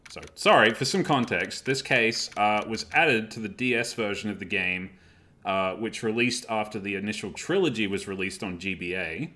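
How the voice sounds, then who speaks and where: A man hosting a casual group dubbing stream with jokey, deadpan sarcasm. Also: lowish voice, normal speed. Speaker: host of a group live dub stream. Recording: game music behind the voice. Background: game music.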